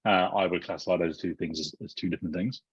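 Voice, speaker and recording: A man's voice: low voice, steady tone, fast talker, slightly rough, dry recording. A man from the UK, speaking as a panelist on an online panel with wry remarks and frequent restarts. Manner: wry, thinking aloud with many restarts. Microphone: video call. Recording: muffled dry video call audio.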